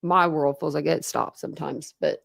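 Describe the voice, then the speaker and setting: A young woman, chatting casually on a livestream and rambling with lots of fillers, her voice going hoarse. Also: low voice, steady tone, moderate pace, no echo, clean studio sound. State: losing her voice, throat clearing. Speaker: true crime streamer.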